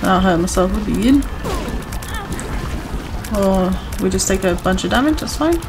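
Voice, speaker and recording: A woman chatting casually while playing a video game, her voice a little hoarse from talking too much. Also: medium pitch, lively intonation, normal speed, over music, low-quality recording. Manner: chatty, self-reassuring, talks to viewers. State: voice going hoarse from overuse. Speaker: gaming streamer. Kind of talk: casual gameplay commentary.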